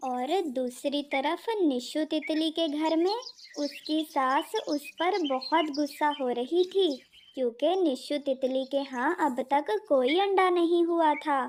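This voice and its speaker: A woman's voice: high voice, a little hiss or hum. A woman narrator reading a scripted children's story.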